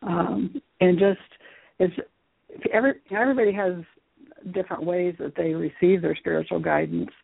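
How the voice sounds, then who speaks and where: Lady guest on a radio interview, measured explainer, fry in her voice, dry phone-like audio. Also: lowish voice, fairly even intonation, no echo. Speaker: guest, Reiki teacher and author. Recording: muffled phone-like radio line.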